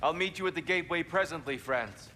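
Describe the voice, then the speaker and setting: An actor playing a scheming old god disguised as a wise, humble warrior, who speaks in a measured, courtly way. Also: male voice, a little high, in a large hall, background noise, close to an everyday voice.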